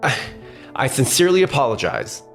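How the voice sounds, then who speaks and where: An earnest young businessman, played by a man in a romcom manga dub. His voice is medium in pitch and explanatory, set over background music. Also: huge pitch swings, brisk, creaky. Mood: apologetic. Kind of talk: scripted manga dub acting and narration.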